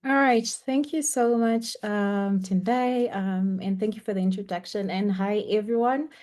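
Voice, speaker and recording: A woman's voice: mid-range voice, unhurried, a little mellow. A young woman teaching a webinar over a video call, speaking in a chatty, encouraging way with frequent ums. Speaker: webinar instructor. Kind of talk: online lecture. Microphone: computer mic on a video call.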